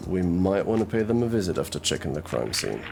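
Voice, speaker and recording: A man playing a gruff, sardonic detective in an audio roleplay drama, drawling and murmuring through his pauses. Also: low voice, big pitch swings, exaggerated voice. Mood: pondering. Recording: music behind the voice.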